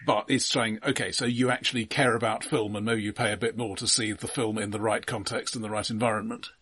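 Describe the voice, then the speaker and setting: A man co-hosting a podcast in casual film discussion, often starting haltingly and repeating his words. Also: fairly low pitch, little pitch movement, a bit bright, breathy edge, no room sound. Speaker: podcast co-host.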